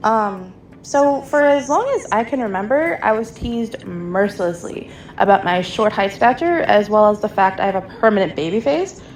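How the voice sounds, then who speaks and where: A woman's voice: medium pitch, animated, moderate pace, soft background music. A young adult woman, a show listener, giving a prepared personal answer.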